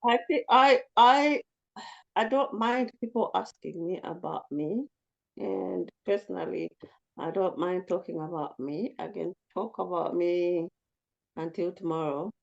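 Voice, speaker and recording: Low-voiced talkative woman. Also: measured pace, creaky, muffled recording. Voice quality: low, commanding voice. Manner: talkative, reflective. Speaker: group facilitator. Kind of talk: casual group discussion.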